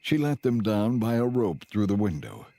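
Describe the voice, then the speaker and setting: A man giving measured, solemn scripted narration for a dramatized audio Bible. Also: on the low side, sing-song, mellow.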